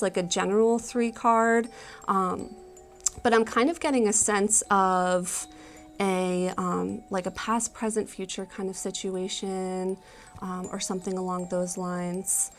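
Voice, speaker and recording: Female tarot reader, middle-pitched and unhurried, casual spiritual delivery, gentle tentative explanations. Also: fairly even intonation, faint music behind. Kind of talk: casual spiritual card reading.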